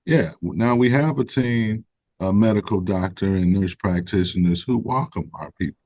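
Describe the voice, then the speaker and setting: Interview guest, man, low voice, dry close recording, measured and earnest. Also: a little mellow, no echo. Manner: measured, earnest, self-correcting as he explains. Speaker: guest, founder of a recovery organization. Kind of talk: conversational interview.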